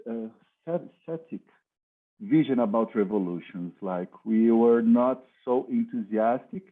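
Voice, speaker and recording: A man with a Brazilian Portuguese accent, a film director answering questions at a festival Q&A. He speaks haltingly, with many fillers, searching for his words in English. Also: medium pitch. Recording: tinny video-call line.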